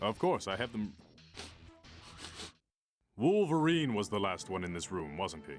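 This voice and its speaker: A man playing a regal, courteous warrior king in a video game, measured and dryly dignified. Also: lowish voice, big pitch swings, faint music behind. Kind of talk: video game character acting.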